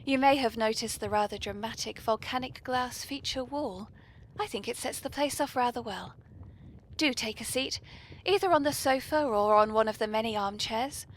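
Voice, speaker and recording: A British woman with a breathy voice, hosting a whimsical podcast in a genteel, playfully polite manner. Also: fairly high pitch, moderate pace, slight background noise, poor audio. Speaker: podcast host.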